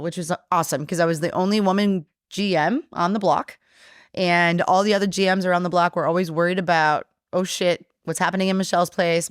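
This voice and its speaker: A woman whose first language is Polish, chatting as a guest on a bar podcast and telling animated stories with acted-out quotes. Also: fairly low pitch, clear, resonant tone, no echo, studio quality. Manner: animated storyteller, acts out quotes, swears casually. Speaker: guest, brewery co-owner. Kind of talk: casual bar podcast chat.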